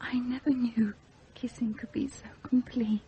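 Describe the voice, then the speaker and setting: A woman's voice: on the high side, steady tone, measured pace, lo-fi sound, slight background noise, natural voice. An actress playing a poised but insecure, romantic young upper-class Englishwoman in a radio play, hesitant and earnest. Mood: soft, tender. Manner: hesitant, self-conscious, earnest.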